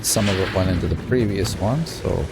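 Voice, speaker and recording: A low-voiced man with a gravelly, creaky tone talks his way through a gameplay session in a loose, chatty way. He rambles, trails off and grumbles at the game, and a steady hiss of noise sits under his voice throughout the recording. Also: a little fast. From Utah. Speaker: gaming YouTuber. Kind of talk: casual let's-play commentary.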